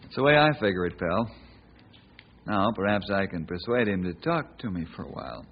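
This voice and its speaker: An actor playing a brave, devout, wry ranger hero in an old time radio western. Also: male voice, deep voice, animated, brisk. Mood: confident. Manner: wry, reassuring, homespun. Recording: muffled, noisy old radio recording.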